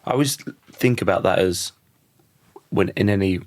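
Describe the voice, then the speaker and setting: Casting: croaky man in his thirties, low voice, thoughtful and halting, dry close-miked sound. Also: darkish tone, no room sound. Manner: thoughtful, restarts sentences often. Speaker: podcast guest. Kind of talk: reflective conversational interview.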